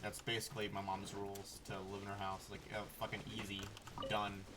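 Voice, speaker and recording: A young man of twenty rambles casually while streaming a game, blunt and quick to swear. His voice is on the low side, picked up a little away from the mic with clear noise and clicking behind it. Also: monotone. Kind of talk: casual rambling gameplay commentary. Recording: noisy, distant mic with clicks. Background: game sounds, clicking.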